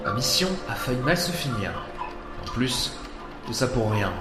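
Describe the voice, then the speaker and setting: A man's voice: mid-range voice, sing-song, moderate pace, close to an everyday voice. Man acting a weary adventurer in echoing reverb. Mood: weary, brooding. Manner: dry, sardonic. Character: dry, weary male adventurer, gruffly sardonic. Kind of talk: audio drama dialogue and inner monologue. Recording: echoing, long reverb, music underneath.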